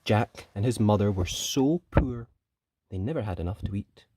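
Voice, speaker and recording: A children's story reader and host giving animated storybook narration, with dramatic emphasis and pauses. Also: mid-range voice, background noise.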